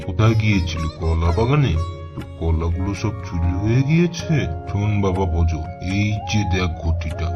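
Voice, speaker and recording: A man voicing a mysterious, benevolent holy man in a cartoon, speaking in solemn, emphatic pronouncements. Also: sits low. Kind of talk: cartoon voice acting. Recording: dull lo-fi track under loud music.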